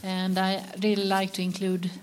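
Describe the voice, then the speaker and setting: A woman's voice: mid-range voice, completely flat delivery, moderate pace, slight background noise. A woman author, introducing herself on a panel with some hesitation. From Sweden.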